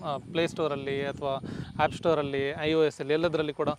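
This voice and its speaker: A man's voice: fairly high pitch, little pitch movement, fairly quick, background noise. A man with a coastal Karnataka accent answering as a company executive guest, explaining a product and mixing in English terms. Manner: code-mixes English terms. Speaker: company CEO as interview guest.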